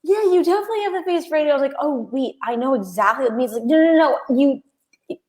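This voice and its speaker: A woman co-host telling a story in a lively, giggly, quick way during casual chat. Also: sits high.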